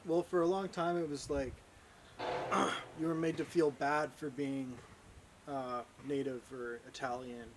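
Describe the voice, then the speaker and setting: A man's voice: medium pitch, steady tone, a little slow, background noise. A laid-back man on a casual podcast, sharing bits of news and trivia. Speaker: podcast co-host. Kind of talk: casual chat.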